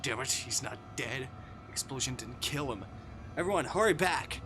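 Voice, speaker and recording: A man voicing a panicked, commanding crewman in a visual novel, urgently shouting orders. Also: mid-range voice, animated, moderate pace, noisy background, lightly acted.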